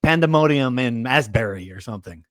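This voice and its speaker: A man's voice: mid-range voice, animated, brisk, dry recording, clean studio sound. An American man hosting a podcast with casual, conversational sports talk.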